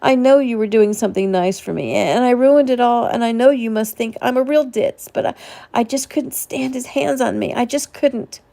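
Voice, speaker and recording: Author acting out a tearful, high-pitched distraught woman. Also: moderate pace, gravelly, warm tone. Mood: sobbing, ashamed. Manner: stammering, tearful, repeating words. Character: distraught, self-blaming, timid abused woman.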